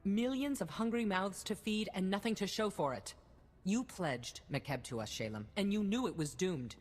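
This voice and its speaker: An actress playing a shrewd, stern head of state in a science-fiction video game, with a formal, cutting, authoritative manner. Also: female voice, medium pitch, animated, normal speed, a little mellow, natural voice. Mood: angry, accusing. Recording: reverberant hall, music behind.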